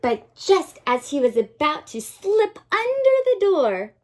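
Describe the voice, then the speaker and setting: Woman, teacher's storytime read-aloud, slow pace, big swings in pitch, expressive, addressing young children. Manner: dramatic, expressive delivery with questions to young listeners. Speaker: teacher reading a picture book to young children.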